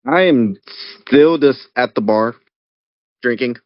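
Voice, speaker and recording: A man's voice: mid-range voice, slow, no echo. A man playing a tabletop role-playing game, answering casually in brief, understated phrases. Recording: dull lo-fi audio.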